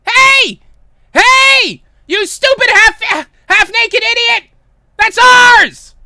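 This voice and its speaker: Man as a shrill, outraged young woman. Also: drawn-out words, noisy background, heavily acted. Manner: shrill, screaming.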